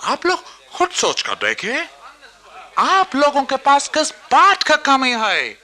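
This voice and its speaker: A bombastic British colonial trader in a radio play, very high and swooping in pitch. He declaims slowly in broken, English-accented Hindi, persuading and scheming in a piercingly bright voice. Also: huge pitch swings, heavily acted. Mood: persuasive, flattering. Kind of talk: theatrical radio drama acting.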